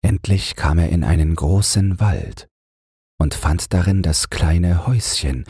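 A man's voice: extremely low pitch, dark tone, breathy edge, dry recording, clean studio sound. A man reading a fairy tale aloud as a bedtime audiobook narrator. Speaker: audiobook reader.

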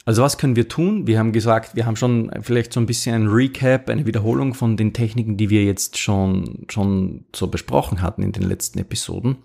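Podcast host, male, low gravelly voice, loose coaching delivery full of fillers. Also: fairly even intonation, fairly quick, few pauses, mellow. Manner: informal, many fillers and restarts, coaching tone. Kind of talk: casual podcast monologue with sponsor read.

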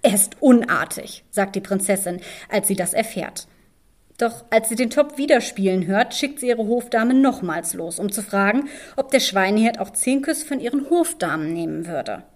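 A woman hosting a podcast, narrating fairy tales in an expressive, measured storytelling manner. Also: medium pitch. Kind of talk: fairy-tale narration and discussion.

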